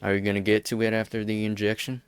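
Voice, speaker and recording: A man's voice: fairly low pitch, completely flat delivery, fast talker, smooth, dry recording, soft background music, lightly acted. A man playing a stubborn, self-sacrificing rebel leader with a blunt delivery in an English fan dub. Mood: defiant.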